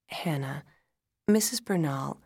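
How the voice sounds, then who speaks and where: Woman doing calm lady doctor in an audiobook, slow n grave, bit husky. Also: low-pitched, no echo, clean studio sound, exaggerated voice. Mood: careful, calm. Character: calm female hospital doctor breaking bad news. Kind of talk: dramatic audiobook-style storytelling.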